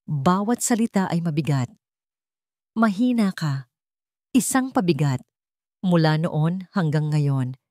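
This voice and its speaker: A woman's voice: low-pitched, animated, slow pace, pauses often, darkish tone, dry recording, clean studio sound. Woman voicing a cruel, contemptuous husband. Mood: cold contempt. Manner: heavy, cutting, threatening delivery. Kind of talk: dramatic storybook narration.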